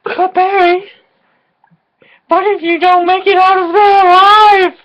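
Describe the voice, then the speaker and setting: A man's voice: very high voice, little pitch movement, a little slow, muffled recording. A slightly tipsy man bantering casually and reading aloud for comic effect in a crude, teasing, informal way. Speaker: co-host of a dramatic reading video.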